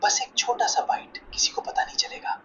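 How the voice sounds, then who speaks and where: A man's voice: bright, forceful tone, in a whisper, dull audio, lightly acted. An AI-generated man's voice playing a guilty, sheepish cartoon potato with a nervous, confessional manner. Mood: sneaky whisper.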